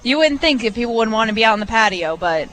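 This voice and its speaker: A woman's voice: fairly high pitch, animated, quick pace, a bit bright, soft background music. A woman in her twenties, from Arkansas, talking casually as a guest on a live radio broadcast from a restaurant bar. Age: 20s. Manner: easygoing, upbeat, lots of fillers. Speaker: guest, restaurant assistant manager. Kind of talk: casual on-air chat.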